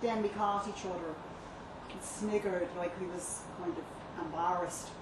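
A woman voicing a fond, earthy midwife who chats in folksy dialect, recorded from far off at a live reading. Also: medium pitch. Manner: dialect grammar, folksy, chatty. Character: fond, earthy older midwife, plain-spoken. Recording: distant echoey live venue, noisy room.

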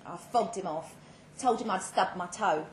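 A woman giving a measured, dramatic reading of a first-person short story at a live event, with pointed pauses. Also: mid-range voice. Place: indoor live literary event. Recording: roomy live venue, clear background noise.

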